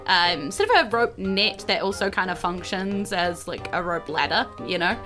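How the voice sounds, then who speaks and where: A woman's voice: on the low side, animated, normal speed, sharp tone. A woman with a New Zealand accent, casually narrating a tabletop roleplaying game as game master. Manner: casual, conversational. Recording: music behind the voice. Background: music.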